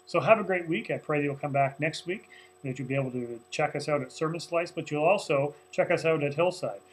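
A man's voice: on the high side, a little fast, a little hiss or hum, poor audio. A man in his forties, a pastor, recapping a sermon to camera from a small office in an earnest, conversational way with many fillers. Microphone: webcam at desk, close framing.

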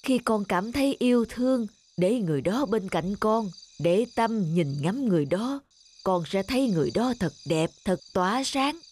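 A woman voicing a grave, kindly elderly father in a cartoon, giving measured counsel. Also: mid-range voice, expressive, a little mellow, close to an everyday voice. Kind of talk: scripted cartoon dialogue.

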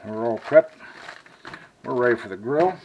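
A man's voice: mid-range voice, animated, a little slow, darkish tone, lo-fi sound, slight background noise. Folksy man cooking on camera. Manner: folksy, talks to viewers as "y'all". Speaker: cooking channel host. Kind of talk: casual cooking demo. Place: home kitchen, then outdoor grill. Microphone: handheld camera mic.

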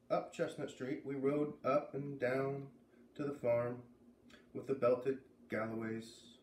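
A man in his thirties reading a story aloud with expressive narration, sitting indoors at a little distance from the camera. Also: medium pitch. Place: living room indoors by a fireplace. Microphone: camera a few feet away. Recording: roomy camera sound, faint noise.

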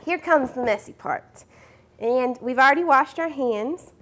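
Cooking show host: young woman, high voice, friendly step-by-step kitchen explaining. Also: a little hiss or hum. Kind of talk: cooking demonstration. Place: home-style studio kitchen.

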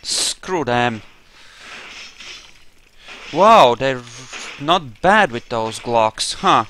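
A man's voice: medium pitch, expressive, very slow, pushed and bright, a little hiss or hum. A man narrating a video game playthrough casually, with laid-back, dry humor and casual swearing. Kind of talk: casual let's play commentary, in-character roleplay.

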